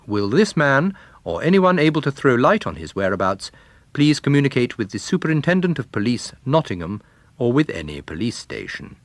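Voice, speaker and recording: A man voicing a formal, measured 1930s radio announcer within a story reading. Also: medium pitch, sing-song, dry recording, pitched above the performer's own voice. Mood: grave, official. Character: formal 1930s male wireless announcer reading police message.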